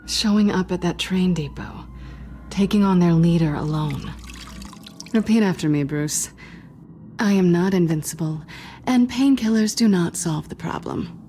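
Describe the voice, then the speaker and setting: An actress as a guarded young woman thief in a story-driven video game. Her voice sits on the low side, intimate and sardonic, with a teasing dry wit. There is clear noise and ambience behind her. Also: a little slow, warm tone, natural voice. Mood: teasing concern. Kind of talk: naturalistic video game acting.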